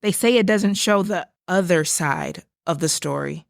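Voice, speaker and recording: A woman's voice: on the low side, unhurried, creaky, no echo, studio quality. A woman playing a frank, concerned book puppet in a theatrical puppet show, speaking in an earnest, plain way. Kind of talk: theatrical puppet musical acting.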